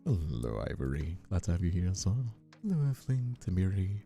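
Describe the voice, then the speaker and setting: Man, livestream chat, animated intonation, creaky low end, streamer. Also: a little fast, faint music behind. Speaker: streamer and voice actor.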